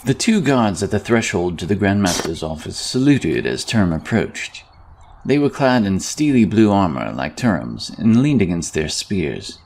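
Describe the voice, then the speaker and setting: A man's voice: sits low, sing-song, normal speed, vocal fry, a little mellow, slight background noise. A man reading scripted fantasy narration as an audiobook narrator.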